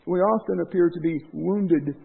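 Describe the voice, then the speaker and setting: Male preacher, high gravelly voice, impassioned sermon delivery, tinny lo-fi recording. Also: moderate pace. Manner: impassioned, rising to loud emphasis then dropping low. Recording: tinny phone-like lo-fi sound.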